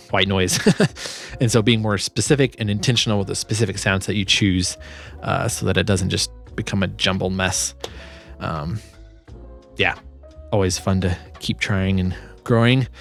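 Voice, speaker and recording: A man giving a casual tutorial as a sound designer, informal and halting as he thinks aloud. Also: on the low side. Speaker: sound designer and video host.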